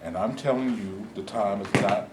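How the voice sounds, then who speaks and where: A man in a staged reading playing a patient, steady civil-rights reverend who urges caution. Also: fairly low pitch, moderate pace, lightly acted. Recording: echoing room, distant mic, faint noise.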